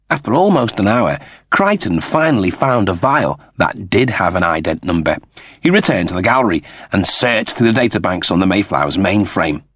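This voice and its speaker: Guy reading a sci-fi story, husky, lots of rise and fall, super dry recording. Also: low voice, a bit bright, no echo. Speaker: audiobook narrator. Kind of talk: dramatic scripted fiction narration.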